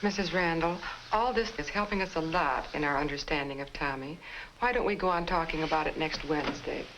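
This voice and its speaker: A woman's voice: deep voice, brisk, fairly bright tone. A woman playing a composed, courteous clinic caseworker in a 1950 educational film, with polite professional delivery. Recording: noisy, hissy vintage film soundtrack.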